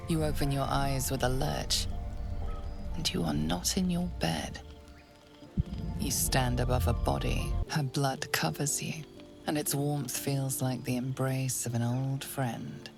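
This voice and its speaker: A brooding storyteller with a deeply gravelly, fry-laden voice who speaks hushed and close to the listener. The delivery is slow and ominous, and a clear musical score runs beneath it. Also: female voice, steady tone, over music, full character voice. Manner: slow, intimate, second-person telling. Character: ominous female storyteller narrator. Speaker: narrator. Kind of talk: game narration. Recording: game score behind.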